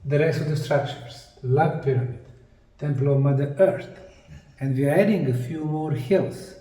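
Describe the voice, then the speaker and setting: A man giving a lecture-style presentation, speaking deliberately in short, emphatic phrases with pauses. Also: fairly high pitch, warm tone. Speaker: presenter, anthropologist. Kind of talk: slide lecture presentation on sacred geometry. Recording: distant mic in an echoey room.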